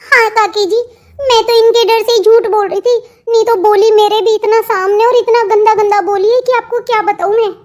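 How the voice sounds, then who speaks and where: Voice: extremely high pitch, steady tone, moderate pace, a little mellow. A performer voicing a gossipy, two-faced young sister-in-law in a family cartoon, chatty and placating. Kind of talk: Hindi family comedy cartoon dialogue.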